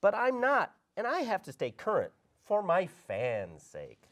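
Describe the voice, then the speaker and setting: A man's voice: sits high, huge pitch swings, measured pace, a bit bright, full character voice. A man playing a vain, cocky male rock star with a slangy way of talking in a script read. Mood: dismissive. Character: vain, self-absorbed male rock star, boastful and prickly. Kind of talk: script table read acting.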